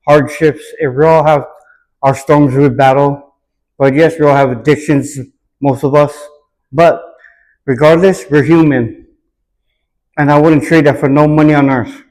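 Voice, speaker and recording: A man with a slightly high voice gives reflective interview answers. He speaks earnestly and deliberately, often echoing a question before he answers it. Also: fairly even intonation, darkish tone. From Hawaii, United States.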